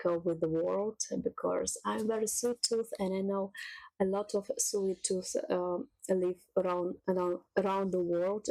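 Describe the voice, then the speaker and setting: A woman from Russia, telling her own story in a casual vlog and laughing softly between thoughts. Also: on the low side, vocal fry, low-quality recording. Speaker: cooking channel creator. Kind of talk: casual personal vlog monologue.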